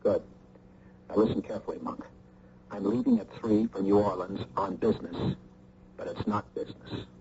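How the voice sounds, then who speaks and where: Mellow young counter-spy, guarded. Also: male voice, lowish voice, huge pitch swings, moderate pace, gravelly, long pauses, heavily acted. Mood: hushed, urgent. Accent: American. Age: young adult. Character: cool, guarded young husband secretly working as counter-spy. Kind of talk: radio drama acting. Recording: dull, lightly noisy old radio recording.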